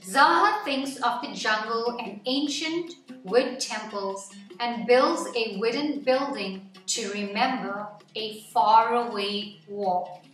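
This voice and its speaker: A woman reading a children's picture book aloud as scripted narration, with measured, deliberate phrasing. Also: fairly high pitch, fairly bright tone. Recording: roomy echo, distant mic, background music. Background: music.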